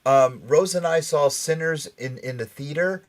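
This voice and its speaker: A man's voice: on the high side, moderate pace. A middle-aged man hosting a casual pop-culture radio panel, talking in a rambling, emphatic fan's way. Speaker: radio show host.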